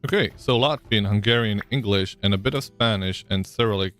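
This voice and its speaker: A man's voice: fairly low pitch, brisk, fairly bright tone, faint music behind. A man live-streaming a game, chatting casually, thinking aloud with frequent ums and answering viewers in chat.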